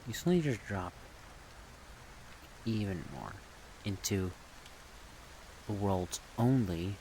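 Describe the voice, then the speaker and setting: Low, slow, soft-spoken man. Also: rough recording. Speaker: ASMR creator rambling about a project. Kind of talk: soft-spoken casual ASMR ramble. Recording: steady rain-like background noise.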